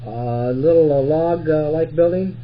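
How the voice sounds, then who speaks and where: A man chatting casually as an interviewer, with a hesitant, halting way of talking. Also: a little high. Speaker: interviewer, park visitor center worker. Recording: muffled old reel-to-reel tape with hum.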